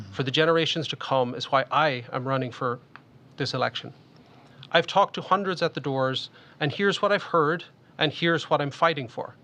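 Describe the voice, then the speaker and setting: A man's voice: fairly high pitch, sharp tone. A man with an Irish accent, reading a prepared statement as a candidate in a local election. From Ireland. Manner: formal, upbeat speech delivery.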